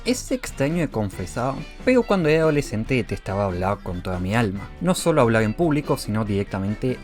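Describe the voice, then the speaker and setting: A young man from Argentina with a speech impediment that drops one sound, narrating a scripted video essay. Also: fairly quick. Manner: lively, expressive delivery with wide pitch swings. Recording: faint music underneath.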